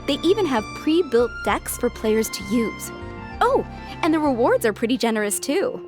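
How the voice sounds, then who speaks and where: A woman voicing a friendly, composed townswoman in a video game, chatty and gently teasing. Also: a little high, sing-song, moderate pace, music in the background. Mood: friendly, informative. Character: Margaret, friendly, composed, playful adult female townswoman. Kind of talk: video game character acting.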